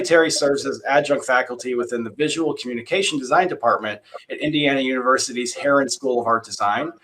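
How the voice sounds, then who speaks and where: A man moderates a panel. He reads the guest bios first, then talks in a loose, friendly way full of "uh" and restarts. His voice has a middle pitch and faint noise sits under it. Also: fairly bright tone, low-quality recording. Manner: friendly, with many fillers and restarts. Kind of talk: reading introductions, then casual panel talk.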